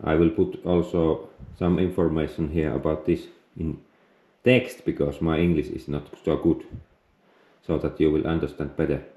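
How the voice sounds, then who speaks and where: A man from Finland speaking English with a Finnish accent, non-native and halting, explaining outdoor gear in a casual vlog. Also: rumbling low, flat delivery, dark tone, roomy sound. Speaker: outdoors vlogger. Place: outdoors on a frozen lake, later indoors.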